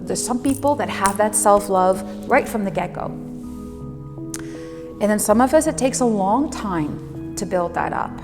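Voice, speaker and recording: A woman telling her personal story reflectively, unhurried and emphatic, with dramatic pauses. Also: medium pitch. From Netherlands. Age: 40s. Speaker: fitness instructor and speaker. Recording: long reverberant hall, music underneath. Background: music.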